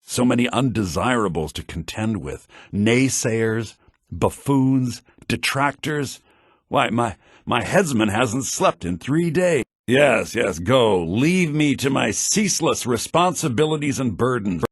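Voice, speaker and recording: An excitable fantasy game schemer, theatrical and fussy. His delivery swings widely in pitch and has a rough, husky edge. The audio is dry, low-bitrate game sound. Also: measured pace, dry recording. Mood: fussy, weary. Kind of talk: video game character dialogue.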